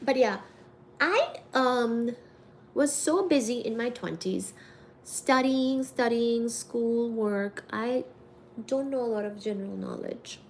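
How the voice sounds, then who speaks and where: A woman in her thirties from India, chatting casually with her viewers on a livestream, giggly and quick to get excited reading the chat. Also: fairly high pitch, a little hiss or hum. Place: home room with cat shelves.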